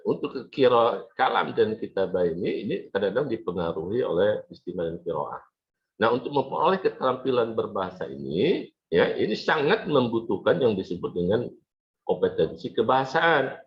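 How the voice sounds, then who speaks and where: Low-voiced man lecturing, dull audio. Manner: conversational and repetitive, with frequent check-in tags. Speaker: lecturer in an Arabic language course. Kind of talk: informal classroom lecture.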